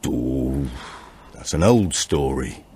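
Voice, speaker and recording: A man voicing a grave old storyteller who gives warnings slowly and deliberately in an audiobook. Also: very deep voice, lively intonation, mellow, husky, voice pushed down, full character voice. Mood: solemn warning.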